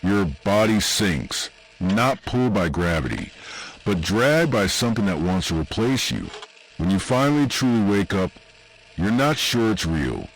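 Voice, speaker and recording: Deep guy doing creepy horror narration, really slow and deliberate, bit of noise behind him. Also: sing-song, rough recording. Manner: ominous, deliberate, short dramatic phrases with pauses. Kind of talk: scripted second-person analog horror narration.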